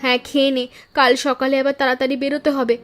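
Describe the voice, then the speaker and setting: A woman plays the elder of two flower-selling sisters in a cartoon fable. Her voice is high and she talks quickly, in a nurturing, practical, reassuring way. Music runs softly behind her. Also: little pitch movement. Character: patient, caring elder sister, poor flower seller. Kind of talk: Bengali cartoon moral-story dialogue.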